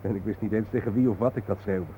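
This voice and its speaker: An actor playing a weary, haunted man who guides a companion, speaking gravely and reflectively in a radio drama. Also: on the low side, fast talker, warm tone, lightly acted. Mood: troubled. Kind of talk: radio drama acting, at times poetic. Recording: tinny narrow-band old radio recording, hissy.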